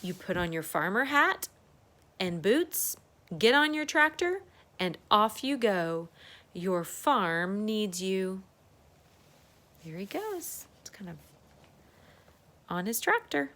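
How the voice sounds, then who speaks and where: A woman's voice: mid-range voice, big pitch swings, takes it slowly, long pauses, pushed and bright. A woman reading a children's storybook aloud as a circle-time teacher, adding chatty asides, exclamations and questions for young listeners.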